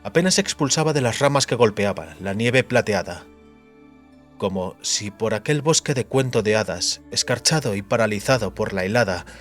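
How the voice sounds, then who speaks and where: A man reading scripted fantasy narration as an audiobook narrator. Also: on the low side.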